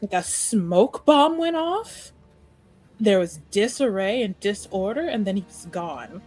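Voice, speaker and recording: A woman's voice: medium pitch, sing-song, unhurried, a little mellow, soft background music, rough recording. A woman playing a coy, gossipy masked lady in an improvised tabletop roleplay, with a theatrical, faux-scandalized manner.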